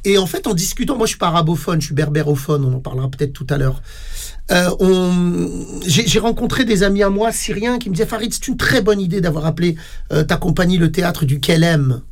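A man being interviewed on the radio about his theatre company, talking haltingly with many fillers and repeated words. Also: high voice. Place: radio studio.

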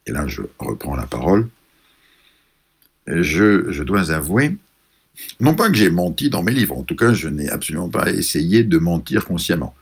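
Man from France, author, gravelly, lively up-and-down delivery, measured and pausing. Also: low voice. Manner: measured, pausing, searching for words. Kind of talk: reflective personal storytelling.

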